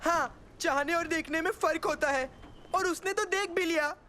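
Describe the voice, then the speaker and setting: High-voiced, impatient cartoon boy. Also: moderate pace, pushed and bright, a little hiss or hum. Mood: indignant. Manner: pleading, dramatic, accusing. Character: impatient, excitable, whiny young boy. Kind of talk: animated comedy series dub.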